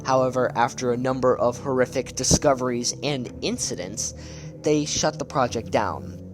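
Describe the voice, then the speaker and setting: A man's voice: medium pitch, moderate pace. Man narrating over background music. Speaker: video essay narrator. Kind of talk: casual scripted commentary on horror web series.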